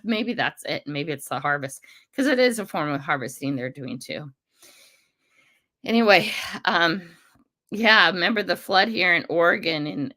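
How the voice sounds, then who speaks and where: An older American woman hosting a casual livestream, greeting viewers by name and rambling along, her voice rough and congested from a cold. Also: on the low side. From United States. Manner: chatty, rambling, warm greetings to commenters. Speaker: livestream host.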